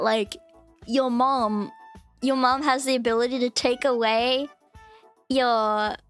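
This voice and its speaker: A woman chatting casually on her live stream, with a cute, high voice, rambling and giggling through restarts and fillers. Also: fairly bright tone. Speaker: VTuber streamer. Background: faint background music.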